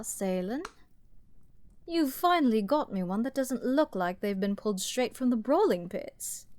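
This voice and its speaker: A woman's voice: mid-range voice, darkish tone, no room sound, close to an everyday voice. A woman playing an arrogant young princess in a dramatic audio roleplay, haughty, petulant, theatrical and given to complaint. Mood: intrigued, condescending.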